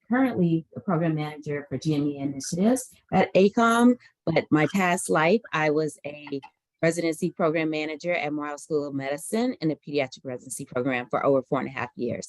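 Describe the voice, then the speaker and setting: A professional woman introduces herself in a polished manner. Her voice is quite low and sounds dry and close to the mic. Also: no room sound, poor audio. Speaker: program manager. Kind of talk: formal self-introduction.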